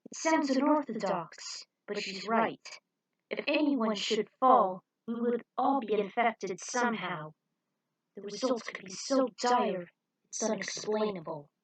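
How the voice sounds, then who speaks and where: A woman's voice: medium pitch, lively intonation, measured pace, rough recording. A woman voicing an earnest, science-minded starship crew member in a story, grave and explanatory.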